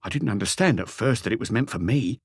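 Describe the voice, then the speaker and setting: A man voicing an anguished, lonely, meek husband in an audiobook, pleading and rambling haltingly through a confession. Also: lowish voice, lively intonation, vocal fry, breathy edge, no echo, clean studio sound, full character voice. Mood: wounded, hopeless. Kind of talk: audiobook character dialogue.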